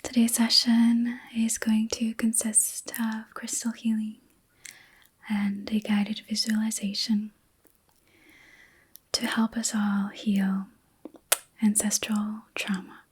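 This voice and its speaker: A woman hosting an ASMR reiki session in a soft, breathy whisper, gentle and soothing, with unhurried pauses. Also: on the high side, flat delivery. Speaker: ASMR host and reiki practitioner.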